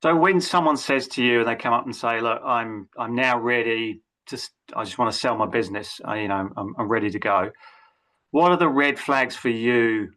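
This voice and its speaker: A man's voice: medium pitch, normal speed, bright, forceful tone. A man hosting a business webinar on a video call, speaking with many hesitations and restarts. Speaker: webinar host.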